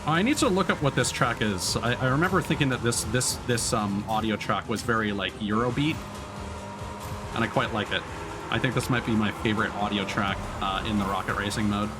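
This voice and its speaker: A man's voice: fairly low pitch, fairly quick, music in the background. A man doing casual live gaming commentary, thinking aloud and chatting to his viewers. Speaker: livestreamer, gamer.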